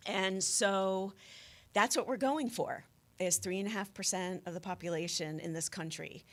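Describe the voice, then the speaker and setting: A woman's voice: medium pitch, moderate pace. A middle-aged American woman giving conversational interview answers as a protest organizer, often searching for her words.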